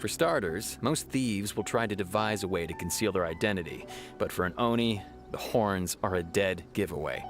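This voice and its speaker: Game lawman: lowish pitch, assured and official, music behind. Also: expressive, moderate pace. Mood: confident. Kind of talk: video game voice acting.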